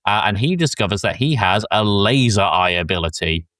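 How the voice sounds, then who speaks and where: Low-voiced man, rambling casual podcast host. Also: animated, fairly bright tone, no echo. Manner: rambling and halting, frequent swearing. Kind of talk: casual recap of a film plot.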